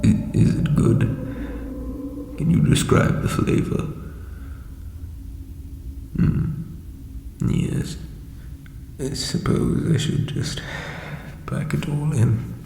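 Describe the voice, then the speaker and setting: A man playing an ancient, insecure undead lich king in a comedic audio roleplay, with a breathy croak and hesitant, pompous phrasing. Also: fairly high pitch, extremely slow, long pauses, dark tone, heavily acted. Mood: doubtful, hopeful. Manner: hesitant, self-doubting, theatrical formal phrasing. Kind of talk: scripted comedic audio roleplay addressed to listener. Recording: reverberant echoey hall, faint background noise.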